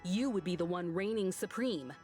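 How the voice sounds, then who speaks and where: An actress playing a composed, resolute woman in a video game, speaking in a measured, earnest way. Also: fairly low pitch, expressive, a little mellow, natural voice. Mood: firm resolve. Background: background game music.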